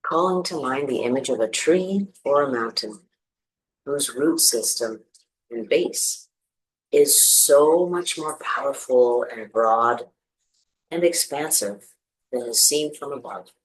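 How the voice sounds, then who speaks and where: A meditation teacher guides listeners through a practice in a medium-pitched voice. The delivery is gentle and soothing, with space left between instructions. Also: takes it slowly, rough recording.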